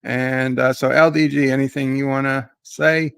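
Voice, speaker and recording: A man with a gravelly, fry-laden voice hosts a relaxed livestream hangout. He thanks chat members by name and chats easily with his panel. The sound is dry and close to the mic. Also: medium pitch, normal speed, dry recording. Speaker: host.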